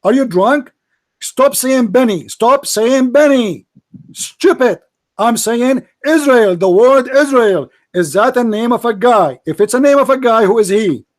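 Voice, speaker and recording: A combative man whose first language is Arabic, hosting a religious debate and mocking his opponent. His voice sits notably high for a man, and the recording is dry and close, with no room sound. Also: lively intonation, unhurried, no room sound. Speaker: debate host. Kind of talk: heated call-in religious debate.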